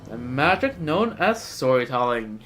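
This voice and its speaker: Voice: mid-range voice, very animated, a little slow, fairly bright tone, faint music behind. A game streamer chatting casually, thinking aloud in short reactions over game audio. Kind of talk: casual livestream commentary.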